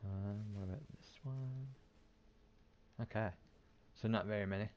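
A man's voice: on the low side, a little slow, darkish tone, lo-fi sound, slight background noise. A man giving casual, offhand gameplay commentary, muttering and mildly swearing to himself. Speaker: gaming YouTuber.

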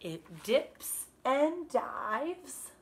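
A woman in her thirties, a kindergarten teacher, reading a picture book aloud to children in an animated, expressive way. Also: sits high, noisy background. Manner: animated, expressive, drawn-out emphasis on words. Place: small living room by a fireplace, indoors.

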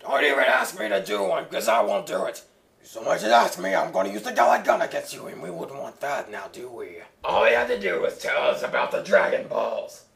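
A man's voice: medium pitch, creaky, fairly bright tone, roomy sound, far from the mic, voice pushed up, exaggerated voice. A man voicing a proud, irritable alien warrior prince with a haughty, snappish, threatening delivery.

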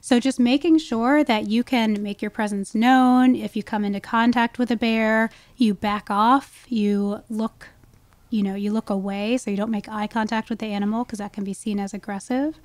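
A woman's voice: on the high side, steady tone, normal speed, a little mellow, no room sound. A woman speaking as an expert guest in a conversational podcast interview, explaining things with emphasis. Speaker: guest, wildlife ecologist.

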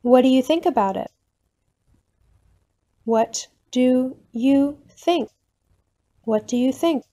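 A woman reads simple practice sentences for learners at a very slow, deliberate pace. Her voice sits a little high but sounds soft and mellow. She says each line slowly, then repeats it at an everyday speed. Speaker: English teacher reading phrases. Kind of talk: slow, clear phrase reading for learners.

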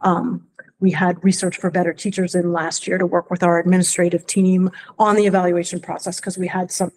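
A woman speaking as school superintendent, giving an informal, somewhat halting report at a board meeting in a library room. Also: lowish voice, little pitch movement, a little mellow, slight background noise.

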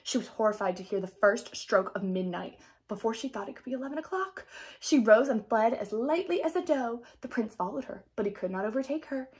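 A young woman reading a children's story aloud in an expressive, theatrical manner. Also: on the high side, a little fast. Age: young adult. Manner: theatrical, explanatory asides. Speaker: storybook reader. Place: small indoor room at home.

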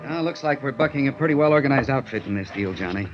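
An actor playing a serious, brisk insurance office manager in a 1950s radio drama, speaking matter-of-factly. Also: male voice, mid-range voice, animated, soft background music, close to an everyday voice. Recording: muffled vintage 1950s radio recording.

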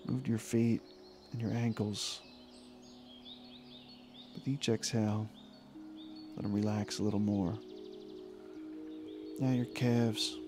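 Slow-speaking man guiding meditation over music. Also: on the low side, level tone. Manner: informal, soothing, unhurried phrases with long pauses. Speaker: meditation guide and host. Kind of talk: guided meditation, yoga nidra body scan.

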